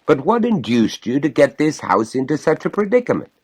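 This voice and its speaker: A man playing a testy, sardonic gentleman householder in a storybook reading, pompously polite and exasperated, with dry wit. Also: fairly high pitch, very animated, fairly quick, slightly rough, pitched above the performer's own voice, heavily acted. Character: testy, sardonic gentleman master of a haunted manor.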